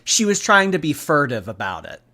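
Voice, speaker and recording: Reaction commentator, lively swinging intonation, casual, blunt, emphatic, repetitive phrasing. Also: mid-range voice, brisk. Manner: emphatic, repeats phrases for effect. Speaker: host reacting to clips.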